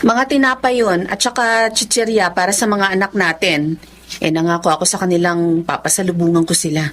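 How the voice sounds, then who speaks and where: A woman's voice: on the low side, fairly even intonation, fairly quick, a little hiss or hum, natural voice. A woman playing an anguished, scolding young wife and mother in a Filipino radio drama, with a pleading, emotional delivery. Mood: wary, firm.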